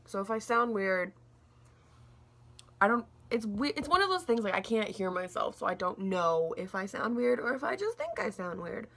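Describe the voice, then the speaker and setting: A young woman reviewing a book in a casual, rambling vlog, her throat a little scratchy and gravelly, with lots of fillers and restarts. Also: mid-range voice, sing-song, normal speed, a bit bright. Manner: rambling, says like a lot, restarts often.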